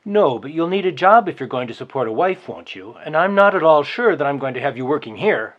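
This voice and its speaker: A man's voice: a little high, big pitch swings, fairly quick, dull audio. A man playing a sarcastic, possessive boss in his forties in a dramatized novel reading, needling as he talks. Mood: scornful. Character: scornful, possessive man over forty, a boss.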